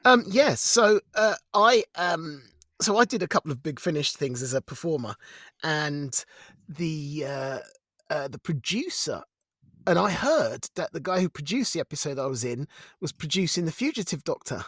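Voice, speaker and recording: A man co-hosting a casual podcast, telling things in an animated, rambling, colloquial way. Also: fairly high pitch, normal speed, no echo, clean studio sound. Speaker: podcast co-host, also audio drama performer.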